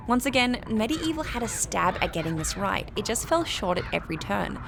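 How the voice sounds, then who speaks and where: A woman with a British accent reading a scripted game review in a measured, articulate, critical way. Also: mid-range voice, vocal fry, background noise. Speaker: video essay narrator.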